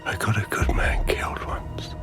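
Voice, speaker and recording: An actor playing a menacing, world-weary militia boss in a game, talking slowly and tauntingly. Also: male voice, whispered, music in the background, close to an everyday voice. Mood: weary contempt. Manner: slow, breathy, taunting. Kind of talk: dramatic game cutscene acting.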